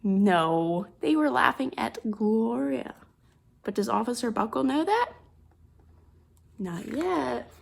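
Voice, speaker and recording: A woman reading a picture book aloud to children, expressively, pausing to comment and ask questions as a teacher would. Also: a little high, animated, vocal fry. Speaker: children's storybook reader, teacher.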